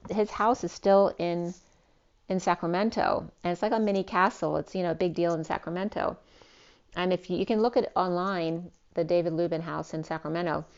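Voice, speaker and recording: Woman tarot reader, smooth and lowish. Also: darkish tone, highs cut off. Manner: rambling, free-associating, many restarts. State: losing her voice. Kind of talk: spiritual tarot reading.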